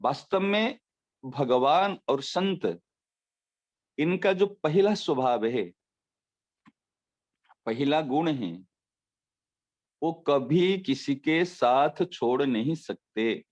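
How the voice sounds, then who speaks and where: A man giving a devotional discourse as a spiritual teacher, explaining with everyday examples and slowly repeating his key phrases. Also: mid-range voice, lively intonation. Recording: dull lo-fi recording.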